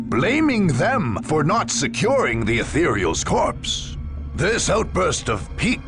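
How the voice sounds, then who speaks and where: A man playing a grave chronicler, narrating a grim war saga for a video game in a measured, ominous way. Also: a little high, vocal fry, heavily acted. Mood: grave storytelling. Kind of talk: scripted dramatic game narration. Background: music.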